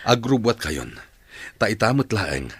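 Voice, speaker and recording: A man playing a remorseful older father and employer in an Ilocano radio drama, with a husky voice and emotional delivery. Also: lowish voice, sing-song, measured pace, a bit bright, no room sound, heavily acted. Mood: remorseful, urging. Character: remorseful older father, employer seeking his lost son.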